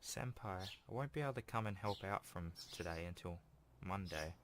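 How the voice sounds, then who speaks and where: Low-voiced man reading a game aloud. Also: expressive, normal speed, slight background noise. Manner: informal, makes offhand asides between read passages. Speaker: gaming video host. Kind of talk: reading a visual novel aloud with casual commentary. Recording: game music playing behind the voice. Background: game music.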